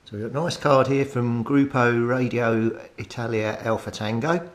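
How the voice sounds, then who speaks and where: A British man giving a casual how-to commentary, thinking aloud and muttering informally to himself as he works. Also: moderate pace. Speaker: hobbyist video host. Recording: steady background noise with low rumble.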